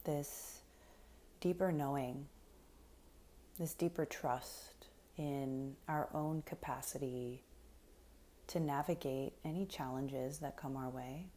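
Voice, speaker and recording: Female meditation guide, soft and breathy with a dark, relaxed tone, soothing and unhurried, faint noise in the background. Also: extremely low pitch. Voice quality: soft, breathy, often near a whisper. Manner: soothing, unhurried, gentle instruction with long pauses.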